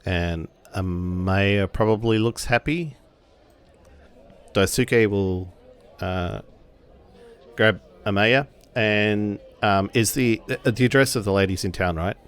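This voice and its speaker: A man's voice: lowish voice, slow pace, smooth. A man casually narrating his characters' actions as a player in a tabletop game.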